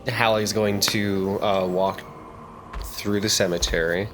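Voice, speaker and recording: Tabletop player, male, lowish voice, measured unhurried delivery. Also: steady tone, background noise. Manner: unhurried, thinking aloud with pauses. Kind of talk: tabletop roleplay, narrating his character.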